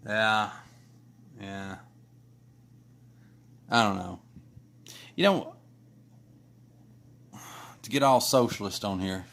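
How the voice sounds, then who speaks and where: Rambling man, lowish voice. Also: drawn-out words, gravelly, pauses often, a bit bright. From Kentucky. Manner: rambling, many restarts and fillers. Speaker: artist streaming live. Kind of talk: casual chat while drawing.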